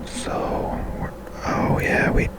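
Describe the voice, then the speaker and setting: Slow, breathy whispered ASMR game commentary. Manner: unhurried, musing to self, tentative. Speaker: gaming ASMR video creator.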